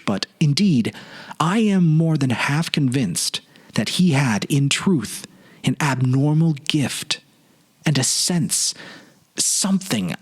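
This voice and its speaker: A man giving a dramatic literary narration in a solemn, measured, pensive manner. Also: a little high, breathy edge. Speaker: narrator, series producer and presenter.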